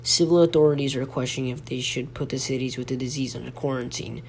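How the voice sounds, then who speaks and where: There is a faint noisy hum on this home recording. A man acts out an ailing local radio host in an amateur drama, mid-pitched and halting. He keeps dutifully delivering breaking news while sounding unwell. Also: steady tone, darkish tone, natural voice. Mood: weary, unwell. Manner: halting, stumbling, breaking off to apologize. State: sick, coughing.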